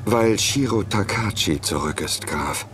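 An actor playing an earnest, courteous warrior monk in a video game, speaking politely and directly. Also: male voice, sits low, animated, unhurried, a bit bright, faint music behind. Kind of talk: video game cutscene acting.

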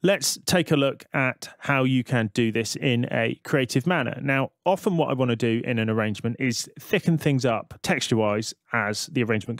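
A man giving a casual, conversational software tutorial voice-over, explaining things step by step. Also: mid-range voice, no room sound, studio quality. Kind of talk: casual screen-recorded tutorial narration.

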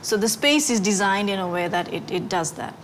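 A woman architect in her forties, speaking thoughtfully and measured in an interview, with pauses and fillers. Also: medium pitch, animated. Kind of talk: interview about her work. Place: indoors in a brick building. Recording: music bed underneath.

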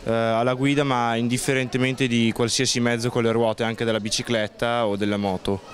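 A man's voice: mid-range voice, a little fast. Young man, hesitant street answer. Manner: relaxed and hesitant, with fillers. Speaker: passerby interviewed. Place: outdoors under a cafe awning. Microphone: handheld microphone.

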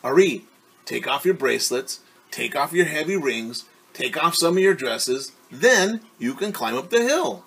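A helpful young girl from a storybook, as a man reads her, urging and offering practical help. The voice is husky, with lively swings in pitch. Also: unhurried, heavily acted. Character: helpful young girl friends coaxing a vain companion.

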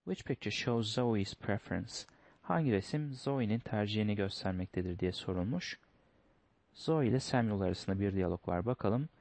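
A man's voice: lowish voice, moderate pace, creaky, a little mellow, no room sound. A man teaching a lesson, going through test questions step by step, reading each line in English and then translating it. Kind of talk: explaining test answers.

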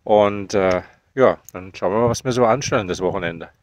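A man in his fifties casually vlogging while out on a walk, chatty and relaxed with many fillers, filmed on a phone held at arm's length. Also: on the low side, moderate pace, a little hiss or hum. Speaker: caravan vlogger. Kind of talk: casual vlog narration while walking. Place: outdoors on a foggy field path.

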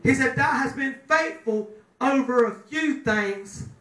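A man's voice: very high voice, slow. Male pastor, middle-aged, fiery sermon style; recorded from far off in an echoey room. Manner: preaching with rising shouts and call-and-response. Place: small chapel room with a pulpit. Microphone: handheld mic, filmed by a far camera.

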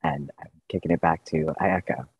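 A man's voice: low voice, big pitch swings, moderate pace, gravelly, husky, rough recording. A young adult man, a PhD candidate, introducing himself informally in a research presentation. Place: video call indoors.

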